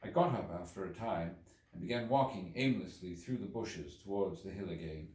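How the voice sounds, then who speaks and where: A man reading a literary story aloud as an audiobook, with theatrical, expressive delivery. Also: sits low, normal speed. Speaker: audiobook reader. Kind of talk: dramatic literary audiobook narration. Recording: roomy echo, distant microphone.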